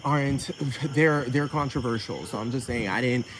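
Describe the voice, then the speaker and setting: A man's voice: medium pitch, moderate pace. Man ranting profanely over crickets. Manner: repetitive, keeps asking "you know what I'm talking about". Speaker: vlogger. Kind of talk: rambling profane rant. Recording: noisy with crickets behind.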